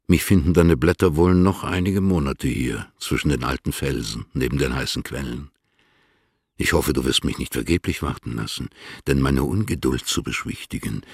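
Stately older poet, extremely low voice, gravelly creak, solemn, formal, measured, German radio drama. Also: male voice, no echo, clean studio sound, exaggerated voice. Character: stately, patriarchal older male poet, composed yet longing. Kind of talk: German radio play, literary letter reading.

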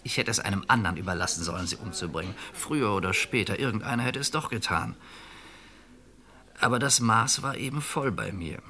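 Calm, resigned fugitive, bright low voice. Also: male voice. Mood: bitter, regretful. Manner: measured, reflective, fatalistic. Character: calm, resigned middle-aged fugitive who killed his wife. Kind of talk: old German radio crime drama acting.

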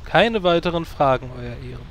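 A man's voice: fairly high pitch, animated, moderate pace, a little hiss or hum, lightly acted. A man playing a dry, sarcastic male druid who leads a guild, in a parody audio drama.

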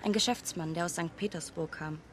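A woman's voice: fairly low pitch, a little fast, slight background noise, close to an everyday voice. An actress playing a young, grieving and tense woman in a naturalistic radio drama, talking informally in clipped phrases. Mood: troubled, cooperative. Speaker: actor in a radio play.

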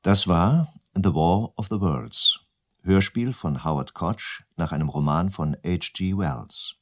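A man's voice: deep voice, slow pace. A man reading scripted announcements and narration for a radio documentary.

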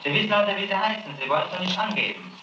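A man's voice: high voice, rapid-fire, bright, forceful tone, reverberant, slight background noise, poor audio. A man working as a prosecutor, questioning a witness with probing, insistent questions on an archival tape. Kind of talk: archival interrogation recording.

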